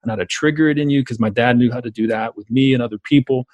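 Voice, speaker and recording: A man with a Southern US drawl talks at a rapid clip, piling up emphatic, repeated points in long run-on stretches. He is a coach and educator speaking as a guest over a remote call, and the sound is close and dry with no room echo. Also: mid-range voice, a little mellow, muffled recording. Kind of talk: conversational interview.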